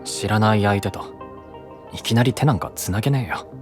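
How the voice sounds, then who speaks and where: A man playing a brusque, frank but caring young knight in an anime-style voice drama, husky and casual. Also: lowish voice, a little fast, over music, close to an everyday voice. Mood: frustrated. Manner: rough, casual, direct speech.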